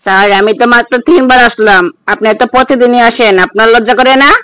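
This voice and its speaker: A man's voice: extremely high pitch, steady tone, quick pace, pushed and bright. A man playing a cheeky male defendant who talks back in a comedy cartoon skit. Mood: cheeky, pleading.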